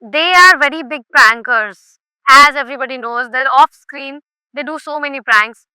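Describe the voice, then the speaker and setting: A woman interviewer with a high, bright voice. She asks short, prompting questions in loose phrasing. The recording is close and dry. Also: normal speed, dry recording, rough recording.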